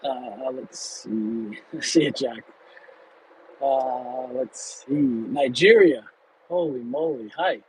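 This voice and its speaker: A man's voice: medium pitch, takes it slowly, rough recording. A man in his late thirties, hosting an unplanned livestream while driving in traffic. He talks casually and candidly, rambling with fillers and restarts. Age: 30s. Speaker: livestream host. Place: car in traffic.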